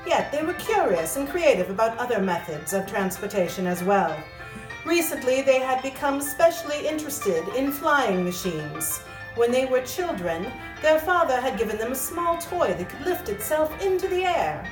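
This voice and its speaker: A woman's voice: high-pitched, sing-song, moderate pace. A woman reading scripted storytelling narration as the narrator of a radio play. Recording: roomy echo, distant mic, music behind.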